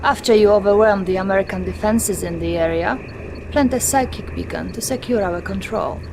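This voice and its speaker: An actress playing a dutiful Soviet military intelligence officer, giving formal briefings in a video game. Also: female voice, medium pitch, normal speed, lightly acted. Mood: formal, businesslike. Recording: clearly noisy game sound behind.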